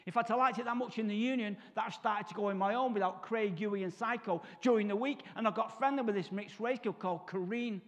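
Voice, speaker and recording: A Mancunian man in his fifties tells his life story from a church stage, his voice pitched unusually high. He keeps the room laughing with comic asides and snaps into other people's voices. A long reverb from the hall trails behind his words. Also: fairly quick, hardly any pauses. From Salford, Manchester. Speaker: guest speaker. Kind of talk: testimony talk at a church service.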